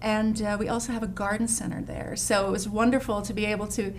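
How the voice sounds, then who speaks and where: A woman from the Netherlands speaks as a guest in a relaxed interview. She talks with eagerness and many small fillers, at a middle pitch. Faint background noise sits under her voice. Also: fast talker. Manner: warm, enthusiastic, many fillers and restarts. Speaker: landscape designer guest. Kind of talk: casual interview chat.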